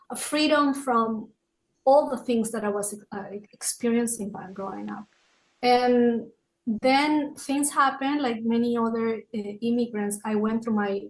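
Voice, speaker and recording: Middle-aged woman, Peruvian Spanish accent, fairly high voice, reflective interview answers, halting phrasing. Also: poor audio. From Peru, raised in Lima. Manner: searching for words, halting phrasing. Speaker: podcast guest, author and wellness consultant.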